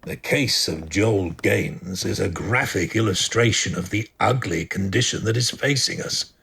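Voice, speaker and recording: A man plays a grave asylum doctor recounting a grim case in a horror audio drama. His voice is deep and gravelly, with a slow, ominous delivery. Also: a bit bright, full character voice. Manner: solemn, ominous. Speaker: doctor and narrator.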